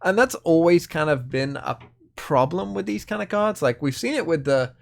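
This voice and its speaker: A man's voice: a little high, expressive, moderate pace, creaky. A young man casually talking through new cards for a card game, thinking aloud with lots of hedges and fillers. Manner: chatty, hedging, thinking aloud with many fillers. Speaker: card game video host. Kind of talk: casual card review commentary.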